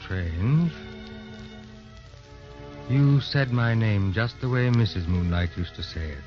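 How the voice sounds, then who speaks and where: A man's voice: lowish voice, lively intonation, slow. An actor playing a dignified, sentimental widowed father, formal and earnest, in old-time radio drama style. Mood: nostalgic, tender. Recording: dull old radio recording, music behind.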